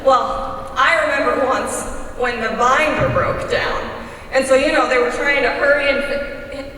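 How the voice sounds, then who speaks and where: A woman's voice: high voice, steady tone, unhurried, pushed and bright. A woman performing in a readers theater, telling stories in a conversational way. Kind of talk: conversational stage storytelling. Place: large hall, live stage reading. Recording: echoey hall from far off, faint noise.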